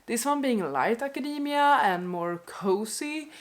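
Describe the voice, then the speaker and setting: A woman's voice: a little high, expressive, a little slow. A young woman with a stuffy nose from a cold, chatting casually to camera in an unscripted rant, apologetic and full of "like" fillers. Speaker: book vlogger.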